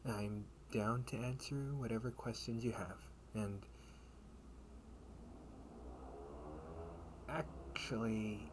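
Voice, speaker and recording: Through clear background noise comes a man playing a lowish-voiced, protective older brother in an audio roleplay. He speaks slowly and haltingly, a sardonic captor who mocks and threatens in a casual way, slipping into breathy asides. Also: steady tone, natural voice. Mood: mocking calm. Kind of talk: amateur audio roleplay acting, one-sided dialogue. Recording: noisy amateur home recording.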